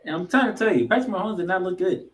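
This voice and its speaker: A man hosting a sports podcast, giving football commentary in an emphatic way, with dramatic pauses and repeated phrases. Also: high voice, lively intonation, crowd noise.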